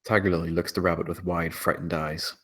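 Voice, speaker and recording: A man's voice: deep voice, little pitch movement, brisk, a bit bright. A man reading out stage directions as scripted screenplay narration at a table read.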